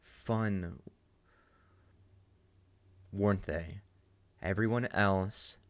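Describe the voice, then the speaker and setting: A man's voice: low voice, drawn-out words. A man hosting a reaction video, musing aloud in casual commentary and often repeating himself.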